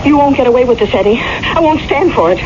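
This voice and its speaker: A woman's voice: high-pitched, expressive, fast talker. A woman playing a nagging, suspicious wife who presses with querulous questions, in 1970s radio drama style. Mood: suspicious, accusing. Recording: muffled vintage radio, noisy background.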